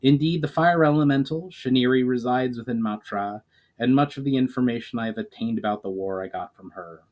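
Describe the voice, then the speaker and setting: A man's voice: medium pitch, a little fast, a little mellow, lightly acted. A man voicing a grave, knowledgeable elf mage on a quest, speaking formally and earnestly in a storybook reading.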